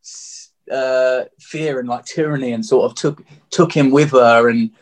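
This is a man of about thirty with a southeast English accent. He speaks as an interview guest, telling a personal story candidly. His manner is open and reflective, and his voice sits at a middling pitch. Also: fairly even intonation, measured pace. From Margate, England.

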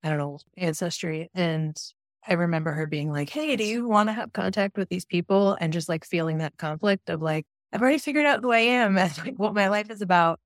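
A woman's voice: lowish voice, fairly quick, dry recording, clean studio sound. A 40-year-old woman from Michigan chatting as an interview guest, sprinkling her talk with "like.". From Michigan, USA. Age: 40s. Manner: chatty, heavy use of "like" as filler. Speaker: guest, donor-conceived sibling. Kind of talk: casual conversational interview.

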